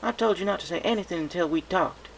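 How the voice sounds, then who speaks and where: A man's voice: sits high, quick pace, vocal fry, voice pushed up. A man voicing a protective big-sister pony, a direct, folksy country mare, reading a story. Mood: firm scolding. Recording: noisy home recording.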